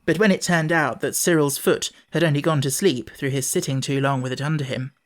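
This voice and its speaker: Woman, low voice, audiobook narrator, scripted children's storytelling. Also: steady tone, brisk.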